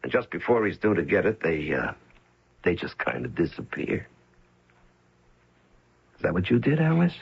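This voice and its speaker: An actor playing a cold, controlled hitman in an old-time radio drama, interrogating with soft, coaxing menace. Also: low voice, huge pitch swings, moderate pace, creaky, long pauses, slightly rough, full character voice. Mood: cold suspicion. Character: cold, menacing professional hitman confronting his betraying girlfriend. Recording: muffled old radio broadcast recording.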